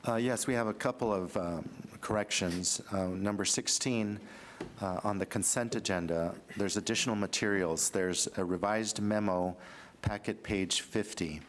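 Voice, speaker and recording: A man in his sixties at a desk microphone in a board chamber, reading corrections to the agenda in a halting way with fillers. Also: on the low side, slight background noise. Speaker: county administrator. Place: large county board chamber.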